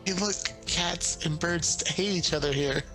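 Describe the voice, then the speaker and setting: Male tabletop player: casual banter, slightly high bright voice, unhurried, faint music behind. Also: low-quality recording.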